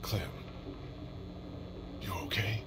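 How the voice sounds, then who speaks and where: An actor playing a protective, weary survivor in a zombie video game, earnest and reassuring. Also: male voice, drawn-out words, background noise. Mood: gentle, sorrowful. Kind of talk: dramatic video game voice acting.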